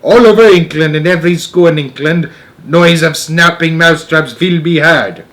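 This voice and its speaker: A man voicing a gloating, monstrous female witch leader who shouts in broken grammar, read from a storybook. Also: a little high, moderate pace, pitched above the performer's own voice, lightly acted. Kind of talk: storybook reading.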